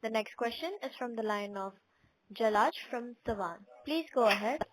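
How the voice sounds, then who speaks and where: Higher-pitched woman, call operator. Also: normal speed, crowd noise. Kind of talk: scripted call announcements.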